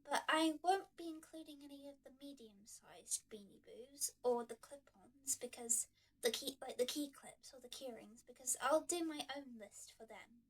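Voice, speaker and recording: Young woman, high voice, nasal and croaky from a cold, rambling chat, a bit far from the mic. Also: normal speed. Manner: rambling with many fillers and restarts. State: stuffy with a cold. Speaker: toy collector vlogger. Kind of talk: casual chat.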